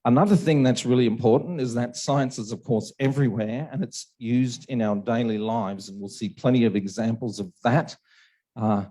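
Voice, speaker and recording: A man's voice: mid-range voice, normal speed, warm tone, low-quality recording. A man lecturing on a university module at an open house, talking with a sore throat and a tired voice, checking in often with "okay" and "right". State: sore throat, losing his voice.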